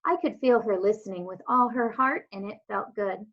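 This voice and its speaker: A woman's voice: mid-range voice, normal speed. A woman, a teacher, reading a children's story aloud as narrator. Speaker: elementary school teacher. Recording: dull lo-fi home recording.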